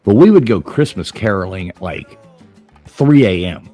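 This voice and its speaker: A man's voice: fairly low pitch, expressive, normal speed, dark tone, soft background music. A man hosting a casual livestream chat from a pub, chatty and rambling, laughing often. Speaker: livestream host. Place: Irish pub.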